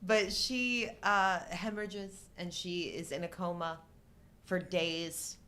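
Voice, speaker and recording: A middle-aged woman with a fairly low voice and a slow, unhurried pace. She talks casually as a live-show co-host, joking with a deadpan delivery. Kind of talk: casual humorous movie-discussion chat.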